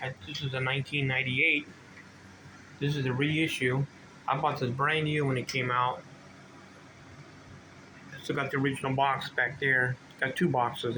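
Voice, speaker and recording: An older man giving a casual, rambling show-and-tell, drifting between informal asides as he talks. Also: medium pitch. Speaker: vintage bike collector demonstrating his gear. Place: indoor home workshop room. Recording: noticeable background noise.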